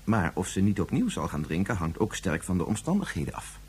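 A distracted dad in a radio play, low raspy voice, explains stuff very formally. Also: male voice, big pitch swings, brisk, heavily acted. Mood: grave. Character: preoccupied, reasoning father in a future society. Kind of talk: radio drama acting.

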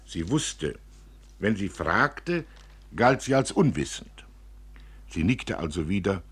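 A man reading a literary story as narrator, expressively, with dramatic and deliberate phrasing. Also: low voice, sing-song, fairly bright tone, breathy edge. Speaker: narrator, reader.

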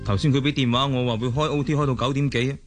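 A man's voice: mid-range voice, steady tone, fast talker, soft background music, natural voice. An actor playing a tired father home from work, weary and offhand, with a Hong Kong Cantonese accent in radio drama style. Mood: homecoming.

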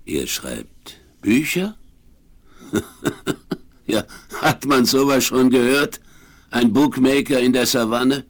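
Gruff old Western trapper, scornful and hot-headed, middle register, 1970s radio-play delivery. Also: male voice, medium pitch. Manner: blustering, scornful. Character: gruff, mocking, hot-tempered little old Western frontiersman. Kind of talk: 1970s German audio drama acting. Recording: noisy 1970s vinyl radio-play recording.

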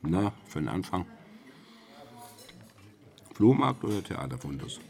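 Very deep, creaky, haughty doctor. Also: male voice, big pitch swings, unhurried, darkish tone, full character voice. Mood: disdainful. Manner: curt, condescending. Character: haughty, curt, condescending professional man. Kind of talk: German radio drama acting.

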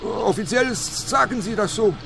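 An actor playing a simple gas station employee who stammers and repeats words in a radio play. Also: male voice, sits high, moderate pace, gravelly, over music. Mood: naive, chatty.

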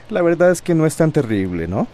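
A calm, probing psychologist in a radio drama, with a Costa Rican accent. The voice is dark and soft-edged, with lively rises and falls in measured questions. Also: male voice, fairly high pitch.

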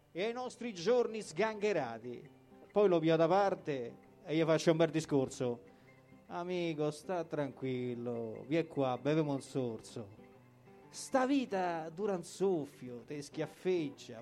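A man's voice: on the high side, darkish tone. A man with a Roman accent performing colloquial verse like a story, acting out the dialogue, with guitar music behind. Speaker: poetry performer. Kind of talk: lively Roman dialect verse recital.

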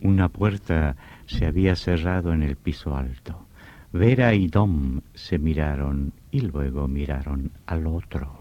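A man playing an ominous storyteller in a radio horror drama, narrating in a suspenseful, deliberate and dramatic way. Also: a bass voice, mellow. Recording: dull lo-fi radio recording with music.

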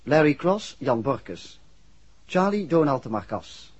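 A man reading scripted closing credits as a formal, measured 1960s radio drama announcer. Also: medium pitch, very animated, husky. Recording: dull old 1960s radio recording.

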